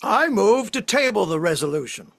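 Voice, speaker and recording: A man acts out a combative nineteenth-century rabbi in a historical play. His voice is high for a man and very dry in sound. He declaims emphatically, with big melodic swings. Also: sing-song, moderate pace, vocal fry, a bit bright, dry recording, heavily acted. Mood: firm. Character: righteous, combative 19th-century Reform rabbi and orator. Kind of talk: table reading of a historical play, oratory.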